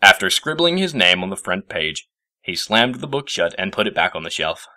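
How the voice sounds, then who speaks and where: A man narrating a scripted story as a reader, in an expressive storytelling manner. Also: low voice, normal speed, no room sound. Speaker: fan fiction reader.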